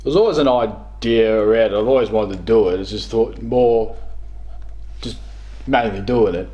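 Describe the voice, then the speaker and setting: A man's voice: lowish voice, normal speed, warm tone, dull audio. A man in his thirties with an Australian accent, chatting casually as an interview guest. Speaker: guest, game reviewer. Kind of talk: casual chat about video games. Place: small living room, on a couch.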